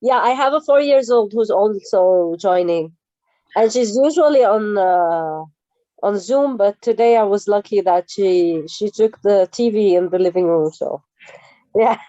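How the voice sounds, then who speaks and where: Mellow, slow-speaking woman from Syria. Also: medium pitch, no echo. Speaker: project team member. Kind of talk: informal meeting introduction. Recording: dull, muffled call audio.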